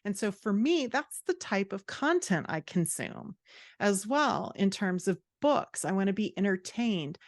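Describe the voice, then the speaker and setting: A woman's voice: medium pitch, very animated, measured pace, dry recording, clean studio sound. A woman guest talking casually and expressively in an interview, giving her opinions in informal language. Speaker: podcast guest, fantasy author and writing coach.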